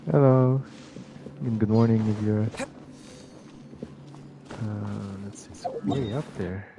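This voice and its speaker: A man's voice: lowish voice, slow pace, rough recording. A man giving casual live commentary as he streams a video game. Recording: loud game music behind the voice. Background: video game music and sounds.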